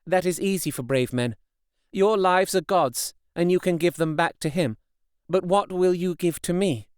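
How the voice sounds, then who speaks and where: A man's voice: high-pitched, normal speed, smooth, dry recording, clean studio sound, pitched above the performer's own voice, close to an everyday voice. A man voicing a devoted young wife in an audiobook, speaking with earnest, tender pleading. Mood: solemn pleading. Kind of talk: audiobook character reading.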